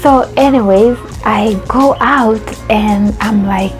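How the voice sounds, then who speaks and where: A woman's voice: mid-range voice, slow pace, faint music behind. A young adult woman from Kenya hosting her own vlog, telling a story in a chatty way with lots of fillers and restarts. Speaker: YouTube host.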